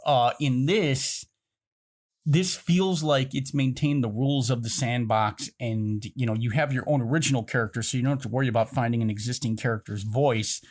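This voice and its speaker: Guy on a podcast, average pitch, thinking out loud and explaining his take. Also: moderate pace. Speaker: podcast panelist. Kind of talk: casual discussion.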